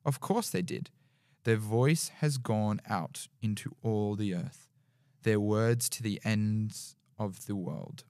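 A young man with a deep baritone voice reading scripture aloud in a small podcast studio, with small slips as he reads. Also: takes it slowly, darkish tone, no echo. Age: young adult. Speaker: podcast guest. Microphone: studio mic on boom arm with headphones.

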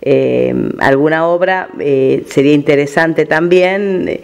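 Higher-pitched Argentine male radio host. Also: takes it slowly, gravelly, smooth. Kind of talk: conversational radio interview.

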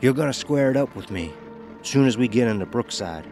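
A man's voice: mid-range voice, lively intonation, fairly quick, darkish tone, faint music behind, lightly acted. An actor playing a haunted, resolute working man in a horror audio drama, terse and folksy in short clipped phrases. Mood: intense, resolute. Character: Elmer Gage, haunted, resolute working man on a grim errand.

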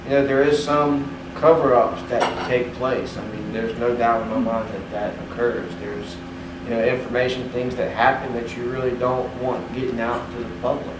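A man's voice: medium pitch, level tone, normal speed, few pauses. Man answering in an echoey conference room. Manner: rambling with many "you know" fillers. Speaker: panelist for the fishing industry. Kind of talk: panel Q&A answer. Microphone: distant room mic. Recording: echoey room, distant mic, air-conditioning hum.

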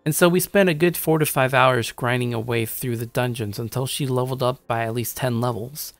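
A man's voice: mid-range voice, fairly even intonation, brisk, no echo, faint music behind, close to an everyday voice. A man playing a shy, awkward young loner gamer, narrating his own story in a self-deprecating way. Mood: wry, casual. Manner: self-deprecating, stammering when talking to others. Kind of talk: first-person story narration with acted dialogue.

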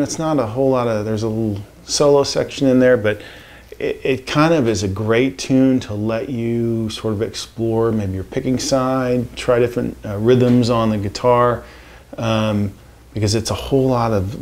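A man in his forties giving a casual guitar lesson in a home studio, relaxed and rambling with lots of fillers. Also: mid-range voice. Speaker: guitar teacher. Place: home music studio, indoors. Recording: guitar strummed under the voice. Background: acoustic guitar playing.

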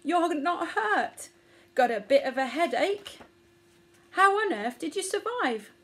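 A woman voicing a gentle, earnest young male elephant in a children's storybook. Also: high-pitched, sing-song, measured pace, pitched above the performer's own voice, full character voice. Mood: amazed, relieved.

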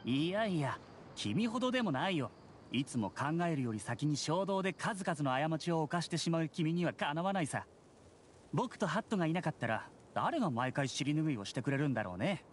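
An actor playing a fussy, articulate, condescending young engineer in an anime game. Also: male voice, a little high, sing-song, fairly quick, a little hiss or hum. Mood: sarcastic, irritated. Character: fussy, sarcastic young bespectacled engineer brother.

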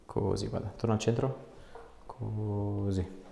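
A man's voice: on the low side, steady tone, takes it slowly, creaky, mellow, reverberant, slight background noise. A man, working as an osteopath and giving short, reassuring, casual instructions during a hands-on exam in a small treatment room. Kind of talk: casual hands-on exam talk, ASMR video.

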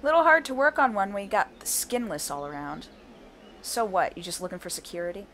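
A woman's voice: mid-range voice, fairly quick, fairly bright tone, a little hiss or hum, lightly acted. A woman playing Diane, a guarded, protective survivor who also narrates, in a fan audio drama. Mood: tense. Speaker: narrator and lead character.

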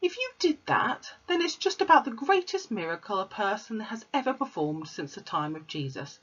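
A woman voicing a gentle, kindly young schoolteacher in a storybook, speaking softly and patiently. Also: medium pitch, very animated, moderate pace, breathy edge, pitched above the performer's own voice. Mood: puzzled. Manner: soft and patient. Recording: slightly muffled recording.

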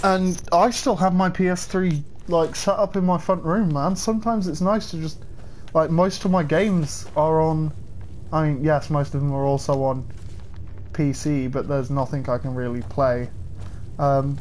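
A man's voice: on the high side, a little mellow, slight background noise, poor audio. A young man casually talking through a livestream while playing, chatty and swearing at the game. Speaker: game streamer.

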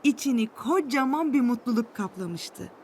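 High-voiced woman narrating a children's story. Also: lively intonation, normal speed, darkish tone, a little hiss or hum.

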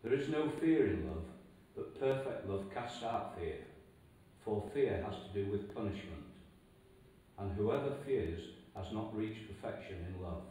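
An older English man reading a scripture lesson aloud in a steady, deliberate way, recorded in a large stone church from a distance. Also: fairly low pitch, a little hiss or hum. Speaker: lay reader. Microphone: far camera. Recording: echoey church from far off.

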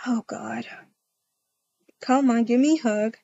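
A woman's voice: a little high, slow pace, creaky, warm tone, dull audio. A woman speaking casually as she improvises a game roleplay and chats to viewers. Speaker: video creator acting out a roleplay.

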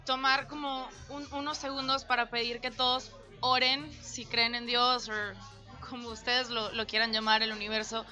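A woman's voice: a little high, flat delivery, a little fast, sharp tone, faint music behind. A young woman from Venezuela making a sincere, hesitant appeal on a radio show into a desk mic. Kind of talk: heartfelt spoken appeal. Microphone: desk microphone on stand.

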